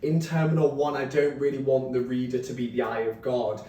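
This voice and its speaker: A man giving an informal author talk, explaining his writing process in a rambling, animated way. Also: brisk. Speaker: novelist discussing his book at a reading. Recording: roomy, distant mic, faint rumbling noise.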